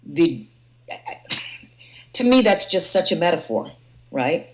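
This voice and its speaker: A woman's voice: lowish voice, sing-song, slow pace. A woman hosting a panel conversation, feeling her way through sentences and repeating phrases for emphasis. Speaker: host and moderator of a panel discussion. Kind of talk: conversational moderating.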